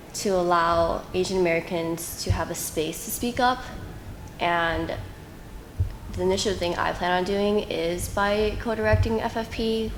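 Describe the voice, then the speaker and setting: A woman's voice: lowish voice, slight background noise. Earnest young woman in an echoey room. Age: young adult. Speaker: scholarship finalist. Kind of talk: interview answers.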